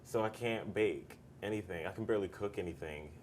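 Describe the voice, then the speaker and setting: A man's voice: lowish voice, brisk, darkish tone, a little hiss or hum. A young man, a student guest on a baking show, chatting easily and laughing often. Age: young adult. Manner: laid-back, laughs easily. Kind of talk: casual chat while baking.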